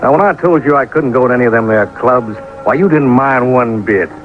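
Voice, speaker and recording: A man's voice: mid-range voice, fairly quick, close to an everyday voice. An actor playing a naive, polite, bashful young country boy in an old radio drama, with folksy ungrammatical talk. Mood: bashful, admiring. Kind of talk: old-time radio detective drama acting. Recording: muffled vintage radio recording, music behind.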